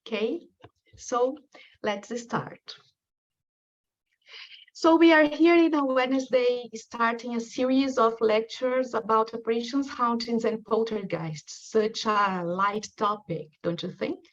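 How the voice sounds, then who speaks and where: A woman from Brazil with a strong Brazilian accent, a Portuguese speaker giving a prepared academic lecture in a careful, measured way with frequent ums. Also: a little high, muffled recording. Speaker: guest lecturer.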